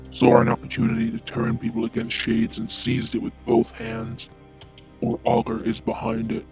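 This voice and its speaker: Brooding masked vigilante blogger, heavy fry, dramatic swings in pitch, ominous delivery over prominent music. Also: male voice, exaggerated voice. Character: grim anonymous masked male conspiracy blogger, brooding. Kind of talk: dramatic monologue in an audio drama. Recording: music behind the voice.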